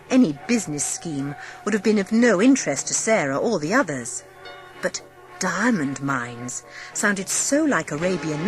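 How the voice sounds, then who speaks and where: Woman, audiobook narrator, lower register, animated intonation, measured storytelling, music in the background. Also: breathy edge.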